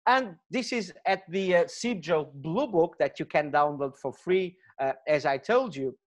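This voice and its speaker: A man's voice: fairly high pitch, lively intonation, measured pace. A middle-aged man with a Portuguese accent, a Portuguese native speaker giving an earnest webinar lecture over a webcam, with many fillers and restarts. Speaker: gemology lecturer.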